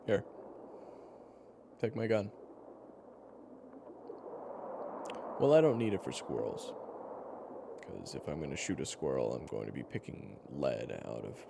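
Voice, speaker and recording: Casting: deep-voiced male actor as a patient, teasing survivor-storyteller for audio drama; halting, wry delivery, lively intonation, over clear background noise. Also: exaggerated voice. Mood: wry, coaxing. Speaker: character narrator. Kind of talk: conversational in-character storytelling, audio roleplay. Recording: clearly noisy background ambience.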